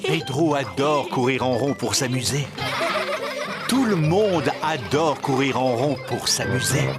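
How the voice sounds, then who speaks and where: A man narrating a preschool cartoon as a calm storyteller explaining things to small children. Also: medium pitch, a little slow, over loud music, natural voice. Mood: explanatory.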